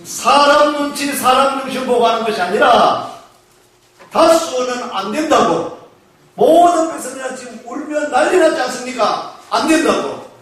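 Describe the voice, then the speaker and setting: High-voiced preaching man in echoey church. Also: animated, fairly bright tone. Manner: call-and-response preaching, asking the congregation questions; slows to stress key phrases. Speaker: pastor. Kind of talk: sermon. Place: church sanctuary. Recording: echoey church hall, mic far off.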